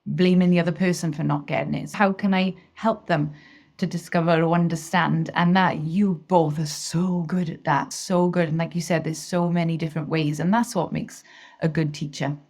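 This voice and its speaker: A woman from Wales, a guest on a video call, talks in a lowish voice. She is enthusiastic and effusive, often laughing as she talks. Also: fairly even intonation, moderate pace, a little mellow. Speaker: interview guest, singing teacher. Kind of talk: casual interview chat.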